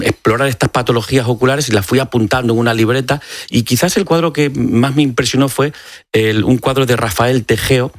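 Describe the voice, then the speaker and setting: A man's voice: mid-range voice, no echo, clean studio sound. A man from Extremadura with an accent from Spain, a doctor explaining things conversationally and courteously as an interview guest. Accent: Spanish from Spain. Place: radio studio.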